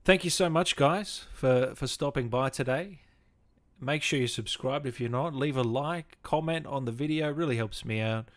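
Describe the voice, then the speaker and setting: A man's voice: mid-range voice, normal speed. A man in his twenties chatting casually as the host of a gaming show. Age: 20s. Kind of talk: casual livestream chat.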